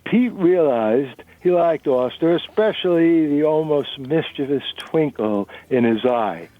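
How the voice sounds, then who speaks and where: A man's voice: on the high side, lively intonation, measured pace, no echo, phone-quality sound. A man narrating a dramatic reading of fiction. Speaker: narrator reading a novel chapter.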